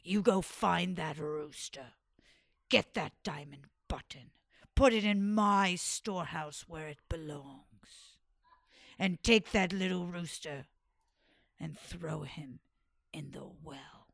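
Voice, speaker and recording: Storybook villain: big greedy sultan played by a woman, low gruff orders, drops to a hiss, slow. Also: dry recording, clean studio sound. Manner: low gruff commands, hissing whispers. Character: huge greedy male sultan, bossy and cross.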